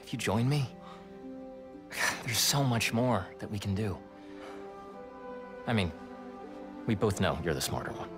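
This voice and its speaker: Low-voiced, earnest young American man. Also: animated, measured pace, long pauses, over music. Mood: earnest, hopeful. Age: young adult. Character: friendly, earnest, idealistic young heir and loyal friend. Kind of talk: naturalistic game cutscene acting.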